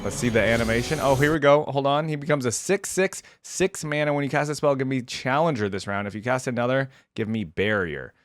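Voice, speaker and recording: Casting: male reaction-video host, average pitch, informal off-the-cuff commentary, with faint music behind. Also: brisk, hardly any pauses. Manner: informal, thinking aloud.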